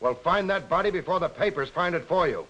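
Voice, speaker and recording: An actor playing a gruff, sarcastic, impatient police lieutenant in a 1940s film. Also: male voice, on the high side, animated, fairly quick, bright, forceful tone, lo-fi sound. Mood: irritated. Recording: very noisy old 1940s film soundtrack.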